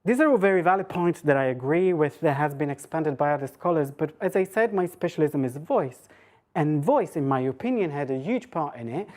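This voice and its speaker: A woman's voice: sits low, mellow. A woman giving an informal, conversational academic lecture, thinking aloud with hesitations and rhetorical questions.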